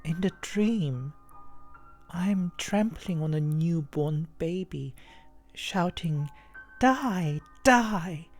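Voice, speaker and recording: A man plays a frail young girlfriend in a horror story, slightly raised in pitch and slow. She sounds haunted as she recounts her nightmares over music. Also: mellow. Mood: weary, uneasy.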